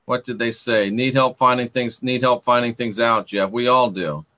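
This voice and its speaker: A man's voice: mid-range voice, steady tone, normal speed, bright, forceful tone. A man hosting an informal live talk, musing aloud to viewers in a rambling, opinionated way and repeating points for emphasis. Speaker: host of an esoteric talk livestream.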